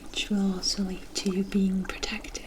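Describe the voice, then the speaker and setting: A woman playing a kindly forest witch in an ASMR roleplay, gentle and reassuring, with formal storybook phrasing. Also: mid-range voice, completely flat delivery, normal speed, slight background noise, close to an everyday voice. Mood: gentle, confiding. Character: kindly forest witch brewing a protective potion.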